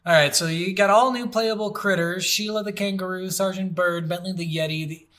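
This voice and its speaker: A man's voice: high voice, steady tone, a little fast, fairly bright tone. A man co-hosting a casual video game podcast, joking around in crude, profanity-laced banter. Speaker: podcast co-host. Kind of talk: casual comedic banter about video games.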